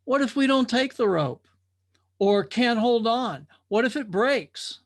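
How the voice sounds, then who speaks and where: Man putting on a high-pitched, skeptical young drifter. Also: expressive, unhurried, full character voice. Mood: doubtful. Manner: questioning, incredulous. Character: young skeptical river drifters, brash, questioning.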